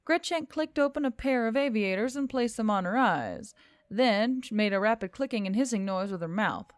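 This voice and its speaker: A woman's voice: fairly high pitch, lively intonation, normal speed, dry recording. A woman reading scripted fiction as an audiobook narrator.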